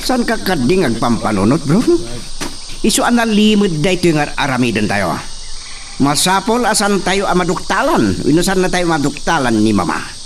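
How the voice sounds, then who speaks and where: A man's voice: fairly high pitch, sing-song, moderate pace, slight background noise. A man playing a commanding young master in a radio drama who gives orders and scolds his servant.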